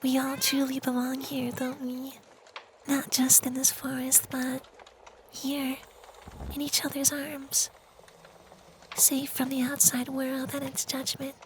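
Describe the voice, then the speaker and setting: A performer as a high-pitched, hushed femboy vampire. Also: completely flat delivery, takes it slowly, long pauses, a little hiss or hum, rough recording. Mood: tender. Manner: hushed, breathy near-whisper, lingering delivery. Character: gentle, sweet, centuries-old femboy vampire. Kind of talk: ASMR fantasy roleplay.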